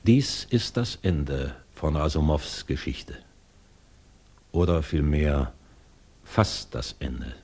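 A grave storyteller in a 1960s German radio play. His voice is extremely deep and mellow, with a husky edge, and he tells his grim tale in a solemn, unhurried way. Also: very animated, heavily acted. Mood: grave, measured. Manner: measured, solemn. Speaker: narrator.

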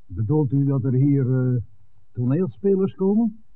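An actor playing a middle-aged, guarded village innkeeper, hesitant and grudging, in a 1960s Dutch radio drama. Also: male voice, medium pitch, animated, unhurried, natural voice. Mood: wary. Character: guarded, discouraging village innkeeper, father of grown daughter. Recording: tinny, narrow old radio recording.

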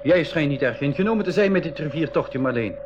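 A man's voice: a little high, expressive, fast, background noise, natural voice. A man playing an eager young boy adventurer who narrates his journey in a school radio drama. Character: eager boy adventurer, Marleen's younger brother, telling the tale. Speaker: narrator and character in a radio play. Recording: dull 1980s radio recording.

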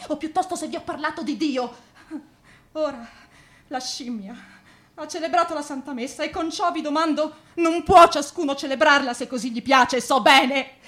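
Impassioned young priest, high bright voice. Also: female voice. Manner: declamatory, sardonic, insulting. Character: impassioned, defiant young male priest, scornful of his elders. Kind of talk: experimental radio theatre monologue.

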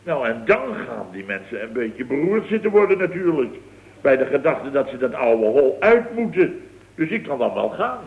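A man's voice: medium pitch, big pitch swings, moderate pace, creaky. A man acting an exasperated, sarcastic company boss in an old radio comedy, grumbling emphatically. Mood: sarcastic indignation. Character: blustering, exasperated, sarcastic male boss of a building firm. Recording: dull old radio recording.

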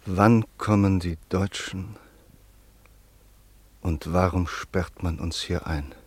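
A man narrating a radio play in a measured, sober way on a close studio mic. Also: sits low, slightly rough.

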